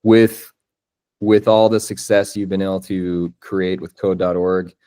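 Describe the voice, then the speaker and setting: A man's voice: low voice, little pitch movement, measured pace, mellow. A man in his thirties hosting an interview podcast, friendly and enthusiastic, with many fillers. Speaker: podcast host.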